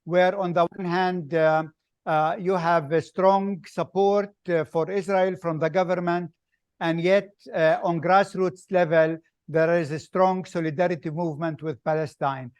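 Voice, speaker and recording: A man hosting an interview, asking questions slowly and hesitantly with many fillers. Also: a little high, fairly even intonation, clean tone, no room sound. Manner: slow, hesitant, many uhs. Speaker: host. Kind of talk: video call interview.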